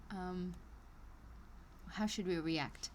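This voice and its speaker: A woman's voice: on the low side, very slow, darkish tone, a little hiss or hum. A woman live streaming as she reads an online course aloud, breaking off into chatty asides and small laughs. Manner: hesitant, many ums and restarts. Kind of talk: casual live-stream reading with commentary.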